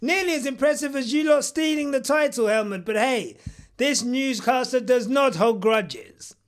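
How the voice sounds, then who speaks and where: A man's voice: extremely high pitch, normal speed. A man hosting a parody news segment, delivering it in a mock-pompous, boastful way with jokey asides. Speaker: comedic news host.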